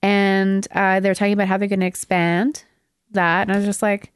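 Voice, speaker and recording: A woman's voice: mid-range voice, flat delivery, normal speed, smooth, no room sound. Casting: woman, forties, mid-range voice, podcast host with a casual wry style, dry room. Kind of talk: casual chat.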